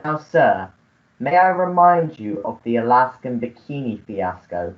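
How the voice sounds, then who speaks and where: Woman playing a slow, long-suffering manservant. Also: extremely low pitch, animated, lo-fi sound. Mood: tactful. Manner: formal, apologetic, hesitant. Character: long-suffering, deferential male English manservant. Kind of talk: comic melodrama radio play.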